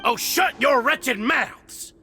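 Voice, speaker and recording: An actor playing a cruel ranting prison gang tyrant in a video game, theatrical and menacing, moving between hissing and shouting. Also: male voice, very high-pitched, slow, faint music behind, heavily acted. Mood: raging. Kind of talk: dramatic video game voice acting.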